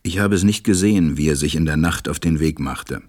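Pensive narrator, deep, very husky, tender, grave, deliberate, literary radio play. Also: male voice, darkish tone. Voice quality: low, husky, breathy. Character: pensive, tender adult male narrator befriending a small boy.

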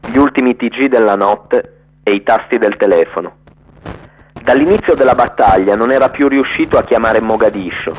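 A man reading literary prose as a scripted radio narration. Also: mid-range voice. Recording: dull, lo-fi radio sound.

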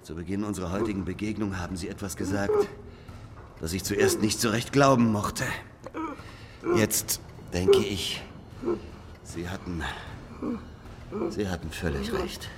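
An actor playing an arrogant, aggrieved English detective in a radio drama, eloquent, theatrical and sardonic. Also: male voice, deep voice, a little slow, long pauses, noisy background. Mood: quiet, biting. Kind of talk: radio drama acting and first-person narration.

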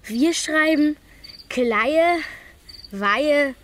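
A woman's voice: sits high, expressive, drawn-out words, a bit bright, slight background noise, exaggerated voice. A performer, heard as female, playing a timid, curious young schoolboy in a radio drama, with simple, careful phrasing. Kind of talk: German radio drama acting.

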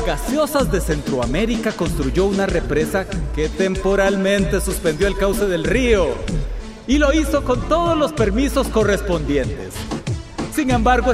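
Smug company manager, lively intonation. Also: male voice, high voice, echoey room. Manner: dismissive, self-important. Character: smug, condescending male soft-drink company manager. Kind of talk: radio soap opera acting.

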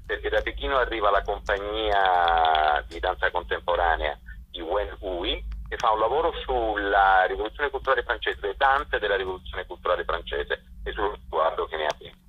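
A man giving an unscripted radio interview, presenting a programme in long enumerating explanations with hesitant pauses. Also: deep voice, expressive, slightly rough. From Italy. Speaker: festival director being interviewed. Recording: muffled, band-limited phone line.

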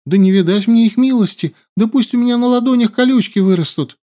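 A man's voice: very high-pitched, fairly quick, warm tone, voice pushed up. A man voicing a cunning young woman herbalist who plays the helpless captive with a whiny, pleading voice. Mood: wailing.

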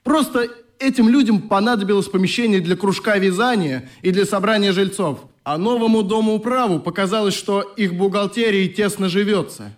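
An actor playing a passionate young leader of a children's club in a radio play, articulate and commanding. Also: male voice, high-pitched, normal speed, natural voice. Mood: brisk, earnest.

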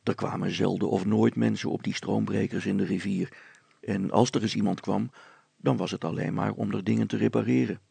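A man's voice: lowish voice, steady tone, fairly quick, creaky, dark tone, slightly rough, dry recording. A man reading a children's story aloud as a scripted audiobook narration.

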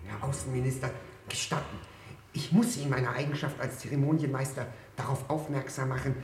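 An actor playing a fussy, formal master of ceremonies in a satirical German radio play, announcing and giving clipped commands. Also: male voice, mid-range voice, steady tone, brisk, close to an everyday voice. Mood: officious, commanding. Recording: echoey room with loud music.

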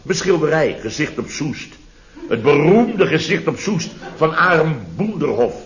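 An actor playing a blustering, sarcastic contractor boss in a radio comedy, theatrical and full of rhetorical tags. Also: male voice, on the high side, sing-song, unhurried. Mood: indignant storytelling. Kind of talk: late-1960s Dutch radio comedy acting. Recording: muffled vintage radio recording, audience behind.

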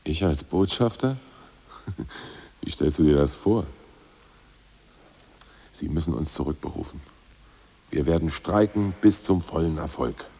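An actor playing a blunt, coarse ousted party official in a radio drama, with a gravelly, husky voice. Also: male voice, very deep voice, measured pace, long pauses, warm tone, heavily acted. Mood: confident. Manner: blunt, coarse, self-assured bluster. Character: ousted party boss, gruff cynical man. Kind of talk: 1980s radio drama acting.

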